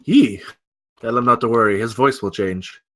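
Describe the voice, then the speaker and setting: A man's voice: mid-range voice, moderate pace, a bit bright, no echo, clean studio sound, lightly acted. A man playing a courteous, bookish older scientist. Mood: curious. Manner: formal wordy speech. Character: older silver-haired scientist, male, courteous, bookish, wounded.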